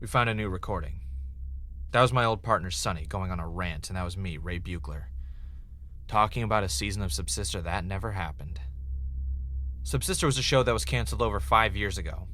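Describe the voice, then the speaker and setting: A man acting as a wry, uneasy podcast host in an audio drama, with a casual, halting, conversational delivery. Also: low-pitched, bright, forceful tone, slight background noise. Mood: uneasy, searching. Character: Ray, wry, uneasy male podcaster investigating his own death.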